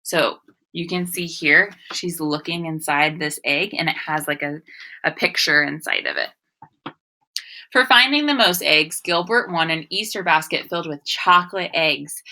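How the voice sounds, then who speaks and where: A young adult woman reading aloud and teaching young children, expressive and sing-song, often addressing them with questions. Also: sits low, a little slow. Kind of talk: read-aloud story and phonics lesson for children.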